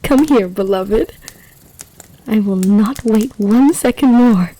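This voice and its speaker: Woman acting a serpent empress, very slow, soft dark tone, husky breath, regal, amorous, seductive, fantasy audio roleplay. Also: fairly high pitch, rough recording. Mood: pleased, adoring. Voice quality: breathy, often dropping to a whisper. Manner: slow, commanding, formal, seductive. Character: imperious, amorous lamia empress, half-serpent ruler.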